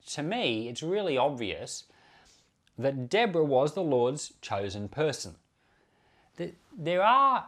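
Male pastor-podcaster, mid-pitched, informal Bible commentary, conversational and unscripted with asides. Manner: conversational, unscripted, explaining with self-corrections and asides.

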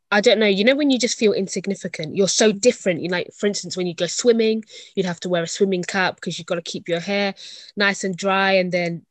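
Twentysomething woman, low voice, dry sound. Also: no echo. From Uganda, raised in England. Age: 20s. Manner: reflective, chatty, frequent ums and restarts. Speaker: interview guest. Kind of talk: casual conversational interview.

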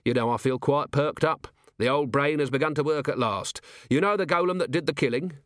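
A man's voice: fairly high pitch, brisk, no echo, clean studio sound, voice pushed up, close to an everyday voice. A man voicing a cynical, world-weary middle-aged police commander in an audiobook, blunt and sardonic.

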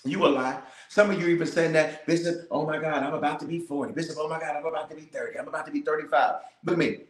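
Bishop guy praying hard, kinda high voice, echoey room, says stuff over and over. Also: steady tone, fast. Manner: fervent, repetitive declarations, calls to the audience. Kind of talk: livestreamed prayer and preaching. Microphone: cameras in front of him.

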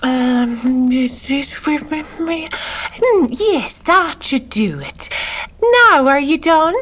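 Mulher sábia irlandesa, aguda e pausada. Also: female voice, sits high, expressive, fairly bright tone, a little hiss or hum, heavily acted. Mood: brisk, urging. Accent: Irish. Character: brisk kindly northern wise woman, eccentric, motherly. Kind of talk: children's audio drama acting.

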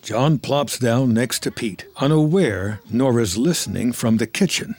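A man's voice: mid-range voice, measured pace, a little mellow. A man narrating a film script in a scripted storytelling style.

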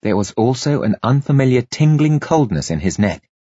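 A man's voice: medium pitch, big pitch swings, normal speed, dark tone, breathy edge, no room sound, muffled recording. A man reading scripted story narration as an audiobook narrator, with dramatic delivery.

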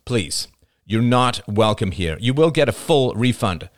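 A man's voice: mid-range voice, expressive, no room sound, clean studio sound, voice pushed up, lightly acted. Man playing a grave, intense male speaker. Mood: stern. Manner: solemn, deliberate warnings, barking when roused.

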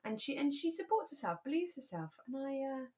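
A woman's voice: sits high, big pitch swings, darkish tone. Chatty British woman co-hosting a podcast. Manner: chatty, quick to laugh. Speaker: podcast co-host. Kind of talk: relaxed casual chat about a radio drama.

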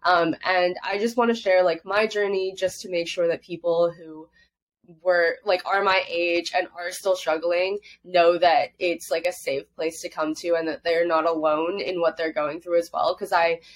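A woman's voice: on the low side, little pitch movement, normal speed. A young woman in her twenties who grew up in Hong Kong, chatting openly as a podcast guest with lots of "like" fillers. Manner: chatty, heavy use of "like", laughs easily. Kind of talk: casual interview chat.

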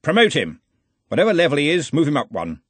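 A man's voice: a little high, wildly swooping pitch, quick pace, fairly bright tone, husky, dry recording, voice pushed up, exaggerated voice. A man voicing a brash, cheerful, domineering wizard arch-chancellor in a storybook, blustery and commanding. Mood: cheerfully forceful.

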